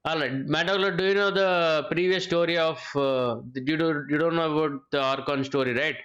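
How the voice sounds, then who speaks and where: A man's voice: fairly high pitch, steady tone, a little fast, fairly bright tone, lo-fi sound. A man livestreaming a game, chatting informally with viewers and repeating his phrases as he reacts. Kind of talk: casual livestream chat and reactions.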